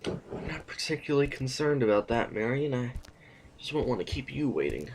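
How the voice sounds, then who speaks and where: A man playing a nonchalant, quietly defiant sovereign in an audio drama, with dry, unhurried phrasing. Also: medium pitch, very animated, a little hiss or hum, poor audio.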